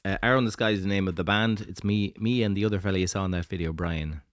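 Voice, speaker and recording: A man who is a musician on a live stream, chatting casually between songs in a soft, deliberately low and relaxed voice. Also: dry recording, studio quality. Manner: talks softly, keeping his voice low and deep on purpose; relaxed and chatty, thanking viewers. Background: faint guitar strumming and tuning.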